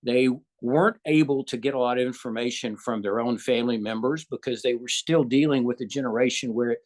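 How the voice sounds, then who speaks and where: Southern-accented older male doctor. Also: mid-range voice. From Southern United States. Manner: measured, thoughtful, with frequent uh fillers and restarts. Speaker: physician guest. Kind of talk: conversational interview answers.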